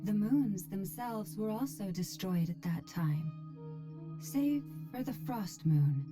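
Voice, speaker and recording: A woman's voice: medium pitch, expressive, slow, over music. An actress playing a polite, earnest young woman in a video game, courteous and reassuring in manner.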